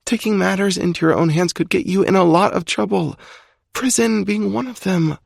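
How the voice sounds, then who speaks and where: A man's voice: high-pitched, moderate pace, gravelly, dry recording, clean studio sound, pitched above the performer's own voice, heavily acted. A man voicing a frightened young woman who pleads and panics in an audiobook. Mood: urgent. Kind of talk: audiobook character voice.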